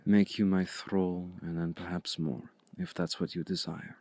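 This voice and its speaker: Man voicing a male vampire hypnotist, ASMR roleplay, deep hushed voice, very slow pacing, breathy, domineering, seductive, predatory. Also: little pitch movement, dry recording. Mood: commanding, hungry. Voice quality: low, breathy, gravelly, often slipping into whisper. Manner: deliberate, commanding, coaxing with long pauses.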